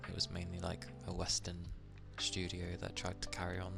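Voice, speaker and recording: A man from England with an English accent, chatting casually on an ASMR stream, soft-spoken and at times drifting into whispers. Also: deep voice, monotone, normal speed, gravelly, music in the background.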